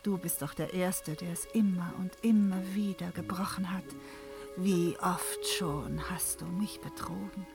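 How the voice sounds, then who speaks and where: An actress playing a jealous, wrathful goddess of marriage in a fantasy radio drama, theatrical and accusing. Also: female voice, fairly low pitch, fairly even intonation, measured pace, music in the background. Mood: bitter, accusing.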